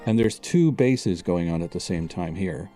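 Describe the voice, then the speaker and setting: Deep, mellow-voiced man over music. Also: animated, normal speed. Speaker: composer guest. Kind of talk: explaining his music in an interview clip.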